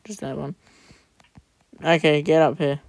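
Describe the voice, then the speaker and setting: A man hosting a family building game, chatting along in a casual, upbeat way with quick asides. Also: a little high, fairly even intonation.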